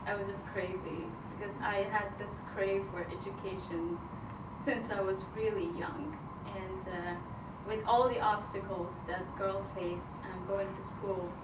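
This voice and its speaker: A woman in her twenties from Afghanistan, telling her personal story in a halting speech, pausing mid-phrase. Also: medium pitch, steady tone. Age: 20s. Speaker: grant recipient giving a speech. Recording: roomy, distant mic, noisy event hall.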